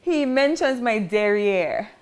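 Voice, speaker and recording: A woman's voice: on the high side, expressive, slow, clear, resonant tone. A woman hosting a casual panel talk show, telling stories in a chatty way and laughing as she talks. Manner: chatty storyteller, laughs while talking.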